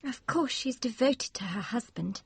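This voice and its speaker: Man doing a high, coy young wife, vain, calculating, lively, husky. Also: normal speed, no room sound, full character voice. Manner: high, light falsetto.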